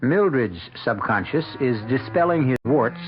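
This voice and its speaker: A man narrates a 1970s radio mystery with wry, theatrical delivery and animated pitch. He places his phrases deliberately. The old broadcast sound is dull, with music behind him. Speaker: host and narrator.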